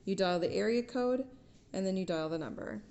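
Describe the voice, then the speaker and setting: A woman's voice: mid-range voice, brisk, darkish tone, dull audio. American woman patiently explaining step by step. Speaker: family member giving a phone tutorial. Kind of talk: casual home how-to demonstration. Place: indoors at home.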